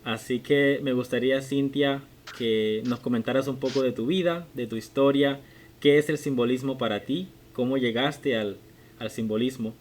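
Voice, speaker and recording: A man's voice: mid-range voice, normal speed, slight background noise. Spanish-speaking man in his twenties hosting. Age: 20s. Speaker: podcast host. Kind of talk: conversational interview.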